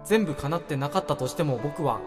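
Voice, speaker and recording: A man's voice: on the high side, animated, fairly quick. A man in his twenties co-hosting a casual radio chat in a jokey, self-mocking way. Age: 20s. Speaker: radio show co-host. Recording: reverberant voice with background music.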